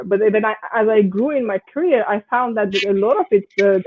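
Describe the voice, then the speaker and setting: A woman's voice: medium pitch, slight background noise. A woman from Iran speaking as a panelist in an online discussion, hesitant with many fillers and restarts. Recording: tinny phone-like call audio.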